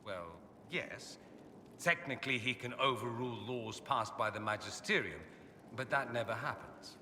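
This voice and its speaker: An actor playing an urbane, witty, self-assured aristocrat mage in a video game, articulate, theatrical and sardonic. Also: male voice, mid-range voice, normal speed, sharp tone. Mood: wry, explanatory. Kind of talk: video game dialogue acting. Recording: echoey hall with ambient noise.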